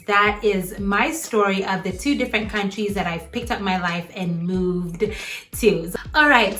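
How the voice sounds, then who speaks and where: An earnest young woman from Uganda speaks straight to her viewers at a medium pitch. She talks warmly, and small laughs slip in between her phrases. It sounds like a casual camera-mic recording made at home. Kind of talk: casual faith vlog.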